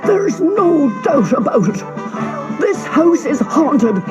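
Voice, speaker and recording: Woman playing a fearful robber, raised pitch. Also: sing-song, slow pace, lo-fi sound, exaggerated voice. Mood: grave fear. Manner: dramatic, fearful. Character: alarmed, superstitious male robbers. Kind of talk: storybook character reading. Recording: music behind the voice.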